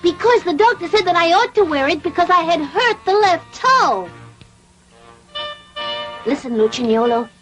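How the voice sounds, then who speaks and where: A very high-voiced, naive puppet boy from a classic cartoon dub. He is polite and earnest, with exclamations and big swings in pitch. Music plays behind him on the old soundtrack. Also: sing-song, normal speed, long pauses, a bit bright, heavily acted, rough recording. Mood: hesitant, nervous. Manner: earnest, exclamatory, formal phrasing. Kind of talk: dubbed classic cartoon acting.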